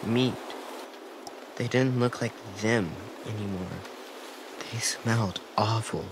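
A man voicing a timid, guilt-ridden gentle young boy in a video game, hesitant and apologetic. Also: lowish voice, takes it slowly, noisy background. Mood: sad, subdued. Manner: hesitant, soft-spoken, apologetic. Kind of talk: naturalistic video game dialogue acting. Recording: clear outdoor stream ambience.

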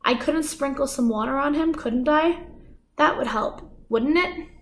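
A young woman with a slightly high voice reads a chapter book aloud at an unhurried pace. She tells the story gently and softens her tone for the characters, recorded on a webcam in her living room. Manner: calm, gentle storytelling, soft dialogue voices. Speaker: guest reader. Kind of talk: reading a children's novel aloud.

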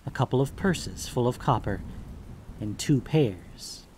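A man's voice: mid-range voice, expressive, a little slow, a little mellow, a little hiss or hum. A man reading scripted fantasy story narration as the narrator.

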